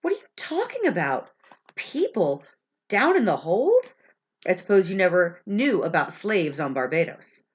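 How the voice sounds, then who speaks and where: A woman's voice: on the low side, very animated, unhurried, husky, full character voice. Storybook young woman voiced by a female reader: haughty, incautious, impatient, congested tone, dull recording. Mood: impatient, startled. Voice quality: stuffy. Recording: dull, lo-fi sound.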